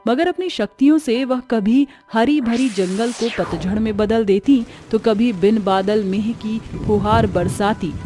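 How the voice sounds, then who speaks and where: A woman's voice: on the high side, a little slow, hardly any pauses, mellow, faint music behind. A woman narrating a scripted cartoon story in a dramatic storytelling style.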